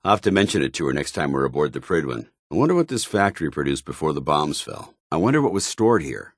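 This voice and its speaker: An actor playing a stern, disciplined armored soldier in a video game, speaking in formal, clipped military phrases. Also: male voice, very deep voice, very animated, fast talker, husky, dry recording, studio quality, heavily acted. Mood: grave. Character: stern, dutiful armored soldier paladin, grown man, devout and disciplined. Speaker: companion character. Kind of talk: scripted video game dialogue.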